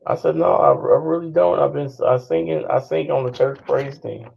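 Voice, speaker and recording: A hoarse man with an American accent telling a story in casual chat and acting out what others said. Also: on the high side, fairly even intonation, darkish tone, low-quality recording. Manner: slow, drawling storyteller who acts out other people's lines. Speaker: channel host. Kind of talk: casual storytelling with quoted dialogue and sung passages.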